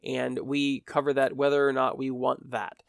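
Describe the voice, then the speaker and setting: A man's voice: mid-range voice, completely flat delivery, moderate pace, creaky, smooth, dry recording, clean studio sound. A man hosting his own podcast, tired and stuffy with a cold, rambling with self-mocking humor. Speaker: podcast host. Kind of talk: solo podcast chat. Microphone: podcast microphone.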